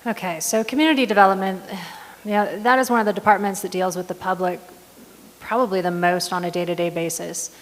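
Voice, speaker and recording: A woman running for county supervisor, answering in a debate in a firm, measured way with frequent ums. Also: mid-range voice, steady tone. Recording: long hall reverb.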